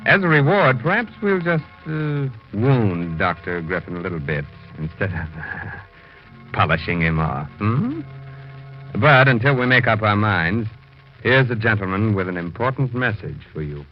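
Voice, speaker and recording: Creepy sardonic horror host, mock-polite, lowish voice, old forties radio, muffled, music underneath. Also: male voice, expressive, a little slow. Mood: gleeful mockery. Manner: tongue-in-cheek, mock-polite, chuckling. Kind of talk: 1940s radio acting. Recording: dull old radio recording.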